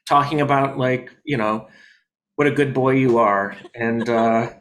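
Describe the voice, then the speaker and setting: A man as a comedian guest in a casual video-call interview, rambling with deadpan jokes and many fillers. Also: mid-range voice, a little slow, poor audio. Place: his home in New York.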